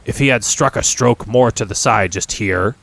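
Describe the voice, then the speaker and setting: A man voicing a gruff, bossy older goblin father with a rough, deep voice in a storybook reading. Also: normal speed, a bit bright. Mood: gruff, unhurried.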